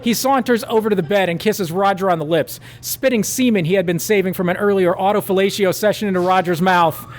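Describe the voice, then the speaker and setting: A man's voice: high-pitched, brisk, hardly any pauses, a little hiss or hum. Man reading a comic story in mock-drama tones. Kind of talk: comedic story reading at a live show.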